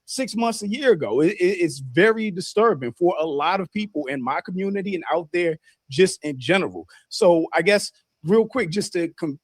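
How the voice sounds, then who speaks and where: Male interviewer, higher-pitched, dry close sound, pointed and critical. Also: expressive, moderate pace, no room sound. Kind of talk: confrontational interview.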